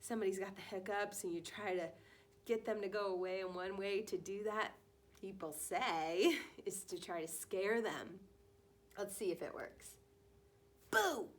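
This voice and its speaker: A woman kindergarten teacher talking to young children during a read-aloud, expressive and full of direct questions. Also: medium pitch, normal speed.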